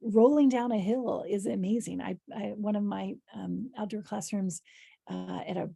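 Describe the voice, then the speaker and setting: A woman leads a training in a mellow, hoarse voice with a gravelly creak at the edges. She explains things conversationally, like an educator presenting to colleagues. Her pitch sits in an ordinary middle range, and the huskiness stays throughout. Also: steady tone, moderate pace, no echo, studio quality, faint music behind. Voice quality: hoarse, husky. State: hoarse voice, clearing her throat. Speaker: early childhood educator leading a training. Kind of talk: informal instructional presentation with examples.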